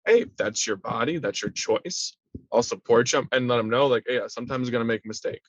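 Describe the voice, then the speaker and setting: A young man, a middle school teacher, chatting as a podcast guest in an animated way, acting out little quoted exchanges. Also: mid-range voice, a little fast, no echo. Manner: animated, acts out voices in quoted asides. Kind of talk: casual conversational interview. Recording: dry, slightly dull remote audio.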